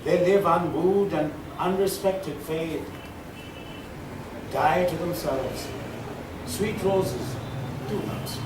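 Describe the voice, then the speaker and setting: A man reciting Shakespearean sonnets as a poetry reader, with deliberate, dramatic phrasing and pauses. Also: fairly high pitch. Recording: roomy, distant, clearly noisy live recording.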